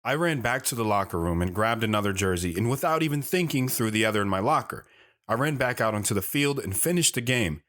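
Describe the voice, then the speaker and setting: A man's voice: fairly low pitch, expressive, quick pace, fairly bright tone. A man playing a plain-spoken guy recounting his youth as first-person storytelling in an audio drama, earnest and matter-of-fact. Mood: earnest narrating.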